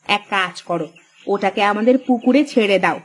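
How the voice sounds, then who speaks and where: A woman voicing a sharp-tongued, practical fisherman's wife in a cartoon, bossy and scolding. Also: medium pitch, moderate pace, husky, natural voice. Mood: practical, coaxing. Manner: nagging, scolding. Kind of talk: Bengali cartoon story voice acting.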